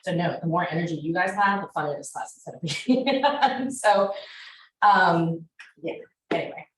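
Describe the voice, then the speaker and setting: Woman, class instructor, lower-pitched voice, energetic, filler-heavy, echoey room. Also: normal speed, slight background noise. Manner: chatty and energetic, many fillers. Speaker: real estate class instructor. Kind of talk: teaching a class discussion. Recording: roomy classroom echo.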